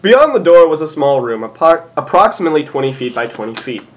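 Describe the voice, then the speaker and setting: A man reads fan fiction aloud in an informal, comic way. His voice sits fairly high and swings around in pitch, and he is giggly and apt to trip over the text. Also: big pitch swings, fast talker. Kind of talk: informal comic read-aloud, breaking into banter.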